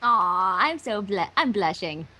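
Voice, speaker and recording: A woman's voice: medium pitch, expressive, slow, sharp tone. A woman in her twenties who sounds younger, chatting playfully and casually on a livestream. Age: 20s. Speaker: livestream guest and voice performer.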